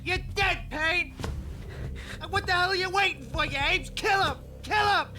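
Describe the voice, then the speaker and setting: An actor playing a whiny, panicky mob boss who yells in brash tough-guy slang. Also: very high-pitched, a little slow, background noise. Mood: furious. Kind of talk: video game voice acting. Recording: game audio with loud music.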